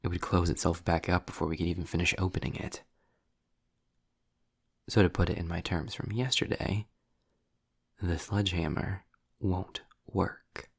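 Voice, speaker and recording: A man playing a sarcastic, impatient, erudite demon in a scripted audio roleplay, speaking in a wry, wordy way with dramatic pauses. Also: deep voice, steady tone, vocal fry, breathy edge, no echo, studio quality, heavily acted. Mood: explaining, intent.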